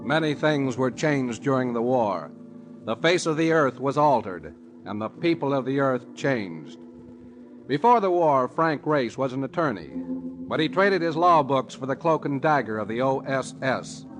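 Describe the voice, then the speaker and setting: A man's voice: medium pitch, animated, a little slow, background noise. A man announcing a radio show in a formal, declamatory manner. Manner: grand, declamatory. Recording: old radio broadcast over music.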